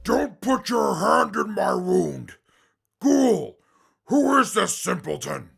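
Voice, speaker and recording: A man's voice: high-pitched, wildly swooping pitch, slow, heavily acted. A man playing a big green indestructible mutant brute in an audio drama, pompous and short-tempered, with formal, blustering speech. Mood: outraged. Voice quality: gravelly, forceful. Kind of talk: post-apocalyptic audio drama acting.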